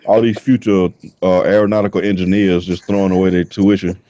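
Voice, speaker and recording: A man's voice: deep voice, level tone, brisk, warm tone, no echo, dull audio. A man in his thirties from the US South, co-hosting a podcast, telling loose, profane stories in casual chat. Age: 30s. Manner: loose, profane storytelling with frequent laughs. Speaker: podcast co-host.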